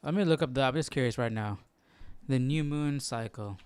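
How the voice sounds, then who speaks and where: A man's voice: medium pitch, normal speed, a little mellow, clear, resonant tone. A man hosting a Bible study livestream, chatting casually and thinking aloud in a curious, musing way.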